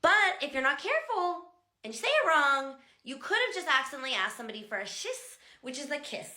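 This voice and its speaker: American woman, bright tone, echoey room, joking casual explainer. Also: high-pitched, very animated, fairly quick. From United States. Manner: chatty, joking, exaggerates pronunciations to demonstrate. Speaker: Norwegian language teacher. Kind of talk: casual talking-head explainer.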